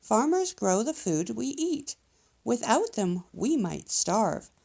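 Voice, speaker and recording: A woman's voice: on the high side, sing-song, takes it slowly, vocal fry, darkish tone, heavily acted. A woman voicing an enthusiastic male professor bear who explains things in an encouraging, emphatic way, like a children's storybook guide. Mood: instructive.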